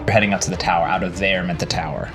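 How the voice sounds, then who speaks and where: A man's voice: low-pitched, steady tone, rapid-fire. A man chatting and joking casually as a player in a tabletop game. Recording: loud cinematic music underneath.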